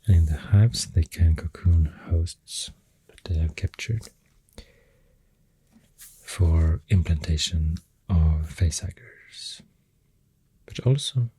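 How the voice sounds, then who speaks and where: A soft-spoken ASMR creator with a Swedish accent, rambling in a breathy, husky near-whisper with hesitant pauses, close to the microphone. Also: low voice, poor audio. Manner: slow, hesitant, pausing between phrases.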